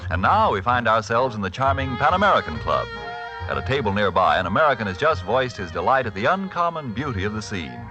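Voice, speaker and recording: A man's voice: fairly low pitch, pushed and bright. A man reading a polished, persuasive 1940s radio commercial as the announcer. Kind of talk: 1940s radio advertising read. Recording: dull vintage radio recording, music behind. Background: music.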